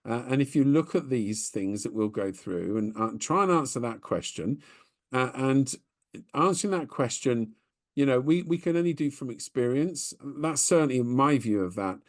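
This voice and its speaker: A man co-hosting a business podcast in relaxed chat, thinking aloud as he speaks. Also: mid-range voice, normal speed. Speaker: podcast co-host.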